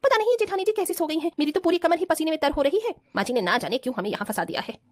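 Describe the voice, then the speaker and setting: Woman acting a high-pitched, whiny young daughter-in-law. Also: expressive, racing, no room sound, clean studio sound. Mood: whiny, pleading. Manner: whiny, complaining. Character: spoiled, whiny young rich daughters-in-law, work-shy. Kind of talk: Hindi cartoon family drama acting.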